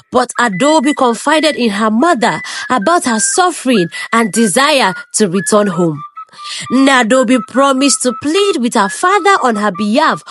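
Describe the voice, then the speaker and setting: A woman narrating a folk tale in a steady, scripted storytelling delivery. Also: sits high. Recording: clear music under the voice. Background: background music.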